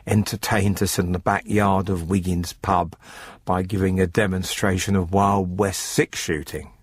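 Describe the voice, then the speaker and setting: An actor playing a cold, methodical poultry farmer who confesses to murder in a formal, matter-of-fact, unrepentant radio drama narration. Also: male voice, low voice, breathy edge, no echo, full character voice.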